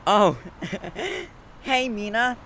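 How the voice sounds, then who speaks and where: An actor plays an introverted young man in an audio drama, wry and quietly fond. His voice is medium in pitch and moves around freely, over faint background noise. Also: very animated, extremely slow, full character voice.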